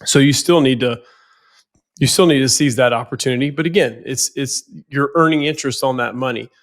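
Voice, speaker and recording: A man's voice: mid-range voice, a little fast. A financial planner with a head cold, sounding nasal, talking casually on a podcast and often repeating words as he goes.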